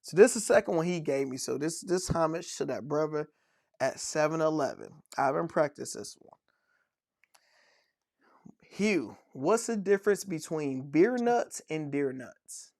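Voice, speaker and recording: A man's voice: on the high side, animated, unhurried, creaky, darkish tone, no echo, clean studio sound. A man co-hosting a casual podcast, playfully telling dad jokes and laughing at them. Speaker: podcast co-host.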